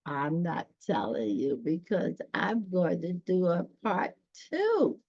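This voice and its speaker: A woman reading a children's story aloud, pausing dramatically and chatting directly to her listeners. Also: fairly low pitch, big pitch swings, vocal fry, darkish tone, no echo. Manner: dramatic pauses, gasps, talks directly to listeners. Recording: dull, dry lo-fi sound.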